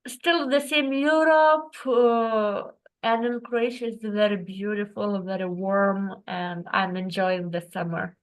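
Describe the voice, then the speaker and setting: Woman guest, slow and thoughtful, talking on a video call. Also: mid-range voice, fairly even intonation, poor audio. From Lithuania. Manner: earnest, reflective, with non-native phrasing. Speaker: guest, writer. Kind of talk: personal storytelling in an interview. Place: home in Croatia, on a video call.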